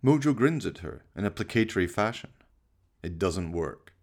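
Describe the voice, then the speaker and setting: A man's voice: low voice, animated, moderate pace. A man narrating a radio play from a script, describing the action in the present tense.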